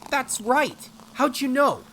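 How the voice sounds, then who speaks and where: An actor as a scrappy raccoon boy, animated. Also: high-pitched, slow, slightly rough, exaggerated voice. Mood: eager. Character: scrappy, hot-headed young male raccoon adventurer. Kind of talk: audio drama acting with narration.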